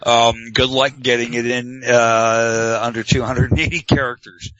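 Canadian man, sarcastic podcast host, bright tense voice, dry dull lo-fi sound. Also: medium pitch, little pitch movement, measured pace. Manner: sarcastic, joking. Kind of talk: casual chat.

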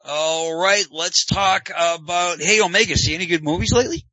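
A man's voice: high-pitched, moderate pace, bright, forceful tone, no room sound. A man from Canada hosting a casual talk show, joking and sarcastic. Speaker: podcast host. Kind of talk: casual chat. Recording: dull lo-fi dry sound.

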